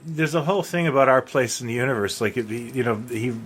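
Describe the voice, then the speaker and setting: A man's voice: mid-range voice, fast, fairly bright tone. A man co-hosting a podcast in casual, conversational fan chat. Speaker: podcast co-host. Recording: faint background noise.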